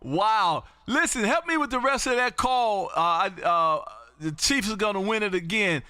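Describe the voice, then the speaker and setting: A loud, exuberant man chatting as an amateur radio livestream host, emphatic and prone to repeating phrases. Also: high voice, expressive, moderate pace, clear, resonant tone, no room sound. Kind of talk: ham radio contact chat. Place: indoor home radio room.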